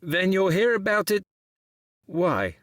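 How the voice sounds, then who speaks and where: A man's voice: high-pitched, sing-song, slow, fairly bright tone, no echo, clean studio sound, exaggerated voice. A man playing a polite but flustered, officious transport director in an audio drama, hesitant and bureaucratic. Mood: puzzled, defensive.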